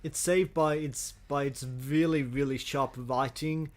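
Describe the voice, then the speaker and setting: A man's voice: medium pitch, fairly even intonation, a little slow. A man co-hosting a podcast in casual chat, often hesitating and repeating words as he goes. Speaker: podcast co-host.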